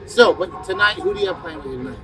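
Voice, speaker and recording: A man's voice: high voice, animated, very fast. A man in his fifties hosting an interview, talking with rambling enthusiasm on the outdoor steps of a party. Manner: enthusiastic, rambling, music-nerd talk. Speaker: podcast host. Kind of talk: casual interview. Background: loud party music.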